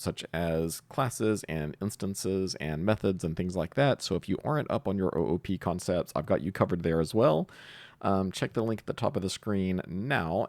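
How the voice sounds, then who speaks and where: A man with a very low voice and a lot of vocal fry walks through a tutorial step by step. He explains in an informal way, as if thinking aloud while he works. The recording is close and dry, with no room sound. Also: a little mellow, dry recording. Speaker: host of a programming tutorial channel.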